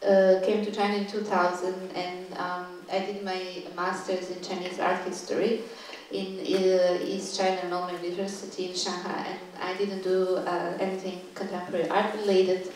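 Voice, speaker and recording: A woman's voice: fairly low pitch, level tone, darkish tone, far from the mic, slight background noise. Woman lecturing in an echoey room. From Serbia. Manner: halting, thoughtful, stops often to find words. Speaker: guest curator giving a talk. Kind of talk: lecture to a live audience. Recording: echoey room, voice picked up from afar.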